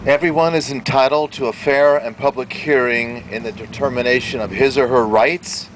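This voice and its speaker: A man's voice: mid-range voice, rough recording. A man reading the articles of a formal document aloud in an outdoor plaza. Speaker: public reader at a rights event. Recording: outdoor recording with faint traffic noise.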